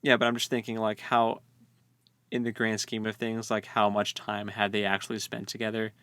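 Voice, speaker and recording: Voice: medium pitch, flat delivery, normal speed, a bit bright, clean tone, no room sound. A podcast co-host chatting casually about a novel with tongue-in-cheek commentary, repeating phrases and jokingly acting out lines. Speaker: co-host of a book read-along podcast.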